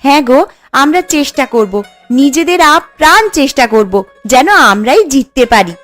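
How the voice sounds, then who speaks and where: A woman's voice: sits high, lively intonation, moderate pace, a bit bright. A woman voicing a modest, earnest village sister-in-law in a cartoon. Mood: earnest, resigned. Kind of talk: Bengali village cartoon dialogue. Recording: music under the voice.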